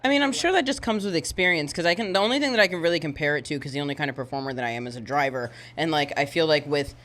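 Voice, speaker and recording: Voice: medium pitch, racing, a bit bright. A podcast host chatting casually, swearing freely, joking around and reacting with amazement.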